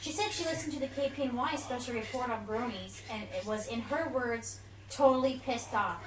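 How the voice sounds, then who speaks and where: A woman acting as a bossy, no-nonsense female pony at a radio station, commanding and brisk, in a radio play. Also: a little high, far from the mic, close to an everyday voice. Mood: confident. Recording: noisy, echoey room, off the mic.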